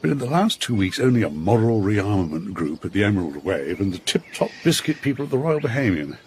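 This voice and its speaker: An actor playing a genial, complacent British colonial official in a radio drama, avuncular, clubby and animated. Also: male voice, on the low side, brisk, slight background noise. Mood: genial, obliging.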